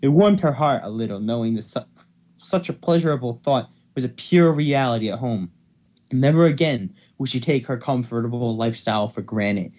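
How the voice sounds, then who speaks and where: A man's voice: mid-range voice, moderate pace, darkish tone, dull audio. A man hosting an amateur fan fiction reading, who stumbles over words and repeats phrases as he goes. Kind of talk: amateur dramatic story reading.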